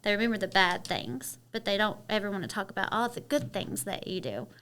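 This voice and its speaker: A woman's voice: mid-range voice, very animated, fairly quick, a bit bright. A woman from Kentucky with a Southern twang, chatting openly as a podcast guest and using many "you know" fillers. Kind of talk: casual interview chat.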